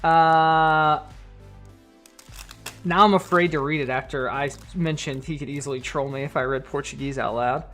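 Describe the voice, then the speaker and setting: A man's voice: fairly high pitch, steady tone, moderate pace, a bit bright, soft background music. A man chatting casually on a live stream, reading viewer donations and comments aloud. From United States. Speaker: card collector streamer.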